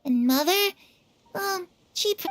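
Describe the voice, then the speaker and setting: A woman voicing a chatty, wistful young girl with a bubbly, expressive, informal way of talking in a video game. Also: very high voice, takes it slowly, slight background noise, full character voice. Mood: cheerful, chatty. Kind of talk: video game character acting. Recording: music under the voice. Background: game music.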